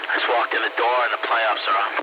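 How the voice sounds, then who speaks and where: A man with a fairly low voice talks casually as he checks in on CB radio. His signal is dull, with clear static. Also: flat delivery, fast. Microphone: CB radio microphone.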